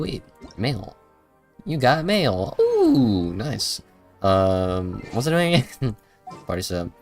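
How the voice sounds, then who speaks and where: A young man with a rather low voice streams a game with music playing behind him. He talks casually in slang, rambling and muttering to himself as he goes. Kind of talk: casual live game commentary. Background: video game music.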